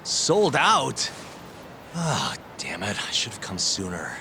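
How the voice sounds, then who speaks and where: An actor playing a cool, good-natured investigator hero in a video game drama, speaking casually and with self-assurance. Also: male voice, lowish voice, expressive, moderate pace, fairly bright tone, slight background noise. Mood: disappointed. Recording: music behind the dialogue.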